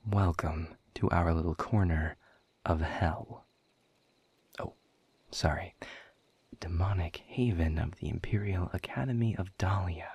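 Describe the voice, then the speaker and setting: A man playing a sardonic, world-weary demon director in a scripted audio roleplay, speaking in dry, sarcastic, wordy drawls with a husky, breathy low voice. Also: a little slow, long pauses, darkish tone, no echo, muffled recording, full character voice. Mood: wry, mocking. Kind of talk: scripted audio roleplay monologue addressed to the listener.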